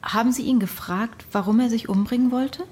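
A woman's voice: a little high, brisk, a little mellow, breathy edge. An actress playing a sceptical, probing female interviewer in a radio drama.

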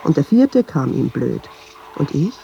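Very dark creaky voice, brooding self-questioning woman, wry, radio play, dull noisy recording. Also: low-pitched, big pitch swings, measured pace, heavily acted. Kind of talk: radio play, introspective monologue.

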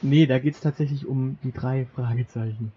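A man's voice: mid-range voice, steady tone, moderate pace, crowd noise. A man co-hosting a podcast in casual banter, reading out from a list and joking as he goes. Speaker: podcast co-host. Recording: muffled lo-fi podcast recording with background noise.

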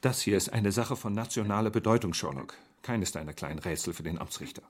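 An actor playing a grave, authoritative elder brother and government official in a radio play, stern and formal. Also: male voice, fairly low pitch, fast talker, close to an everyday voice. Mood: grave warning. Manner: stern, formal, insistent warning.

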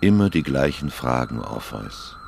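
A man playing a grave, knowing, fatalistic figure who speaks in dark poetry in a radio drama. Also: sing-song, a little slow, background noise, full character voice. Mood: grave, dismissive. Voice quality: very deep, gravelly. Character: dark, fatalistic male narrator taunting a lost lover. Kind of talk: literary radio drama acting.

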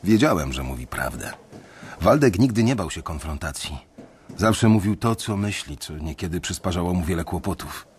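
A man narrating in the first person in a radio drama, measured and reflective. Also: sits low, lively intonation, a little hiss or hum.

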